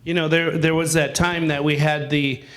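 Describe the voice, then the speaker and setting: Guy running a group chat in a meeting room, kinda rambly, voice on the high side. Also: flat delivery, normal speed, a bit bright. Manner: rambling, with many fillers and restarts. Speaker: discussion group leader. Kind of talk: casual group discussion.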